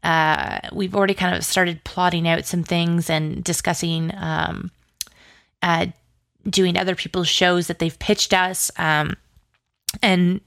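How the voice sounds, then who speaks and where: A woman's voice: fairly low pitch, a bit bright, dry recording, clean studio sound. A woman talking casually as an interview guest, in a chatty, informal and enthusiastic way. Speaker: interview guest, audio drama producer.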